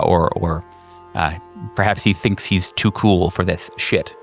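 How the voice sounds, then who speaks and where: A man narrating an improvised tabletop horror game as game master, halting and conversational in his descriptions. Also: fairly low pitch, a bit bright.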